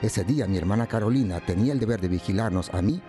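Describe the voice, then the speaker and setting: An actor plays a reflective male storyteller with a fairly low voice. He recounts his hot-tempered past in measured radio drama narration, over faint music. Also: mellow, close to an everyday voice.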